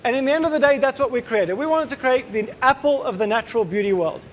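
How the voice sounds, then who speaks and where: An Australian man in his thirties speaks with a strikingly high voice, presenting to a live audience in a conference room. He is casual and chatty, tossing jokes and questions to the crowd. A faint background hiss sits under the recording. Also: quick pace. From Israel. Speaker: company co-founder giving a talk.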